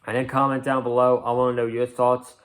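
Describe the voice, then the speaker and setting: A man's voice: medium pitch, completely flat delivery, a little fast. A man hosting an informal online news video, reading out notes and adding earnest fan commentary in a casual way. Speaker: host of a wrestling news video channel.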